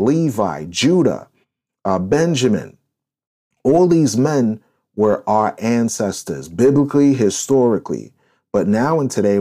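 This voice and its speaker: A man's voice: on the low side, mellow. A man hosting a podcast discussion, speaking in an emphatic, preachy, declarative way. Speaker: podcast host.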